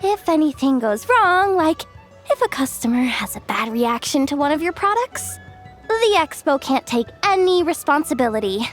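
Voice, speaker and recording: A woman's voice: high-pitched, sing-song, normal speed. A woman playing a frazzled, earnest young female expo manager in a video game, flustered and stammering. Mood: cautioning. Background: music.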